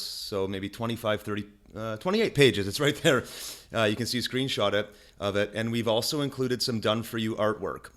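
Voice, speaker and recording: A man with a low, deep voice teaches through a casual screen-recorded tutorial. He is chatty and energetic, restarts his phrases often and keeps checking in with the viewer. He speaks into a desk microphone. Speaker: online marketing instructor.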